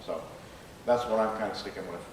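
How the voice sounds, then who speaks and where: A man speaking as a screenwriter in a live question-and-answer session, with a halting, hesitant delivery. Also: lowish voice. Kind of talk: live Q&A after a staged reading. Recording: echoey room, distant mic, faint noise.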